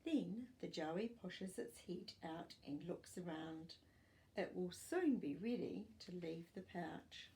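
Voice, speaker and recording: Older woman reading aloud, slow and lively. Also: lowish voice, rough recording. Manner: expressive, deliberate read-aloud delivery for young children. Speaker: storytime reader and host. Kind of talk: reading a children's non-fiction book aloud, with short asides. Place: small furnished living room, indoors.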